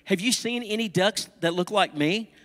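A lonely little duckling from a storybook, acted out by a man, speaks in a fairly high, plaintive voice. He is timid, polite and hesitant. A long hall reverb hangs around him. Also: normal speed, fairly bright tone. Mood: hopeful. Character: sad, timid young male duckling, lonely but polite.

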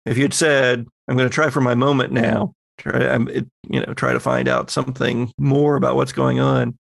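A man serves as game master for a tabletop horror session. His voice has a gravelly fry and is recorded close and dry. He narrates scenes and explains rules conversationally. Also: medium pitch, little pitch movement, normal speed, no echo.

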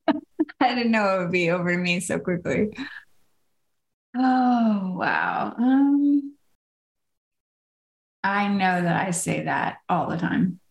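A woman's voice: medium pitch, expressive, takes it slowly, creaky, pauses often, reverberant. A woman co-hosting a podcast, talking unhurriedly and laughing easily, trailing off as she thinks aloud. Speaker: podcast co-host. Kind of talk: casual podcast chat.

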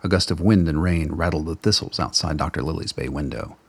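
A man narrating a scripted radio drama in a measured, wry storytelling manner. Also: a bass voice, gravelly, breathy edge, no room sound.

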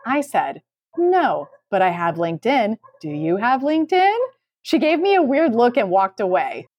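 A woman co-hosting a podcast in casual chat, expressive and incredulous in the way she talks. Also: fairly high pitch, normal speed, highs cut off. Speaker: podcast host.

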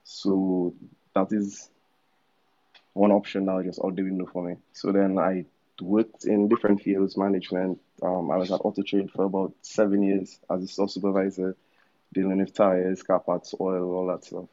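A man's voice: deep voice, completely flat delivery, normal speed, dark tone, muffled recording. A man in his thirties from Dominica with a Dominican accent, a musician telling his story in a casual, laid-back interview with slangy asides. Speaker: musician guest.

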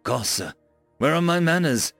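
Brief: man reading as an eager human ship captain, chatty and a bit flustered, lively pitch, dry close sound. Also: moderate pace, vocal fry, dry recording, faint music behind, full character voice. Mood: earnest, friendly. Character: friendly male human starship captain.

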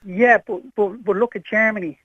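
A man's voice: sits high, fairly even intonation, moderate pace, no room sound. A middle-aged Irish man calling a radio show by phone, telling personal stories and opinions emphatically, repeating phrases insistently. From Ireland. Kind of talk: impassioned personal anecdote and opinion. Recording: dull, lo-fi phone line to radio.

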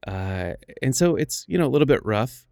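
A man's voice: mid-range voice, sing-song, normal speed, a little mellow, no room sound, studio quality. A man hosting a podcast interview, upbeat and eager, laughing often, recorded on a phone. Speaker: podcast host. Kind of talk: casual interview. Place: room with a piano. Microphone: iPhone recording. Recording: rough phone recording, piano behind. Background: piano music.

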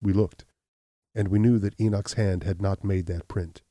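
A man voicing a stern, implacable accuser who speaks in a deep, level, measured way. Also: no echo, clean studio sound. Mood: accusing. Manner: calm, measured, unyielding. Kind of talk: audiobook reading.

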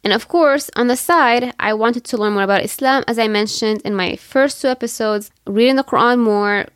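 A woman's voice: a little high, moderate pace, dry recording, studio quality. A woman in her thirties from Syria, hosting a podcast and telling her own story in an earnest, reflective way, with many fillers. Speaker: podcast host. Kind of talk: personal storytelling monologue.

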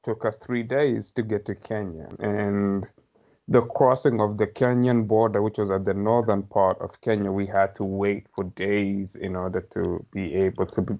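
Guest spot: man in his 30s from Somalia, lowish voice, reflective storyteller, slightly muffled lo-fi sound. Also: level tone, normal speed. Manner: thoughtful, hedging, with light laughs. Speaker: podcast guest. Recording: slightly dull lo-fi audio.

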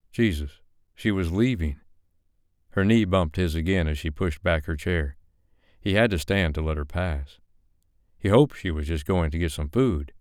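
Male narrator, very low pitch, resonant and clear, scripted fiction reading. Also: no room sound, clean studio sound. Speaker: audiobook narrator.

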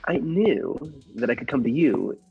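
Man acting a husky, flustered henpecked little husband. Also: medium pitch, very animated, a little slow, full character voice. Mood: earnest, agitated. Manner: stammering, flustered, fussily polite and formal. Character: timid, henpecked little husband, nervously earnest. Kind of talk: old-time radio comedy play acting. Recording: dull lo-fi audio with music behind.

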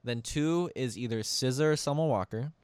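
A man's voice: medium pitch, brisk, darkish tone, smooth, dry recording. A young man chatting casually in a friend's bedroom, teasing and playful, with a lot of "like" fillers. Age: young adult. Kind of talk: casual chat.

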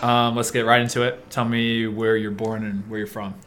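A man's voice: on the low side, flat delivery, moderate pace, loud music behind the voice. A man hosting a podcast, asking questions in a friendly, casual way with short prompts and fillers. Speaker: podcast host. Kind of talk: casual interview chat.

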